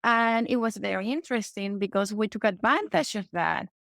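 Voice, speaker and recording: Venezuelan-accented woman with a gravelly edge. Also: mid-range voice, normal speed, no echo, clean studio sound. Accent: Venezuelan Spanish. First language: Spanish. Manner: energetic, often adds "okay?" to check in. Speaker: podcast guest, entrepreneur. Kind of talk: casual interview talk.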